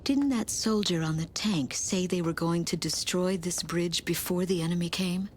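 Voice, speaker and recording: A woman acting a sorrowful, determined mother in a war-torn land, narrating in a hushed, reflective way for a game. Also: lowish voice, normal speed, slight background noise, natural voice. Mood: weary resolve. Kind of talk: scripted dramatic narration.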